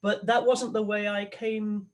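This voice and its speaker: A man, an opera tenor, talking as a guest on a video call, halting and thoughtful with many restarts. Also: sits high, little pitch movement, slight background noise. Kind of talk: conversational interview over a video call.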